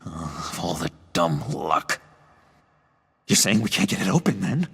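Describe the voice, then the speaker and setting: An unstable, needy oddball henchman in a game, raspy and slow, with wild swoops of pitch. He is theatrical and oddly earnest, in a long echoing hall. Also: sing-song, fairly bright tone, heavily acted. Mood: fretful. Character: eccentric, needy, unstable man, eager sidekick to villains. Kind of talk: dramatic video game acting.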